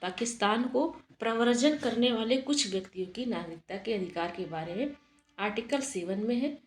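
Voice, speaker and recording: A woman's voice: a little high, lively intonation, normal speed, echoey room. A woman teacher giving an exam-prep lesson, explaining steadily and asking and answering her own questions.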